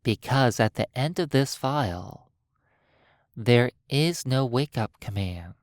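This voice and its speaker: A hypnotist guides a sleep session at an extremely slow pace. The delivery is soothing and broken by long pauses. The recording is dry, with very little room sound. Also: mid-range voice, animated, no echo. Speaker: hypnotist narrator.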